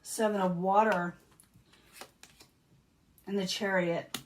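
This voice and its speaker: A woman reading tarot cards in a casual, chatty way, losing her voice and clearing her throat now and then. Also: mid-range voice, steady tone, slow pace, far from the mic, slight background noise. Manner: chatty, repeats herself, many um fillers. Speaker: tarot reader.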